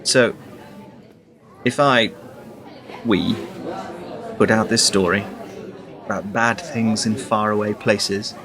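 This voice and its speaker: An actor in a radio play playing a wary, questioning man with a measured, sceptical delivery. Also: lowish voice, steady tone, fairly bright tone. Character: wary, questioning man named Mark, weighing a risky story.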